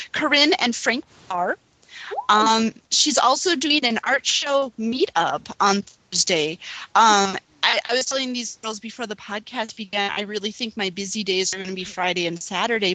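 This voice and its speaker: A woman co-hosting a casual fan podcast, excited and giggly, with many ums between thoughts. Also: medium pitch, no echo, rough recording. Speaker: podcast co-host.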